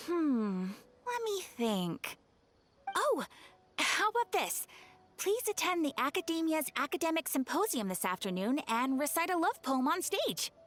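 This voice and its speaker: An actress voicing a polite young guild receptionist in a video game, measured and formal with a quietly mischievous streak. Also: female voice, sits high, sing-song, exaggerated voice. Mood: politely mischievous. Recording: music behind the voice.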